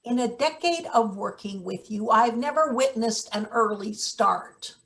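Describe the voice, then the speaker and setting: A woman's voice: on the high side, expressive, a little slow, fairly bright tone, slight background noise. A woman playing a brusque, scheming maintenance boss in a satirical stage play reading, barking and sarcastic. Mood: dry, bossy. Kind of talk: live table read of a satirical stage play.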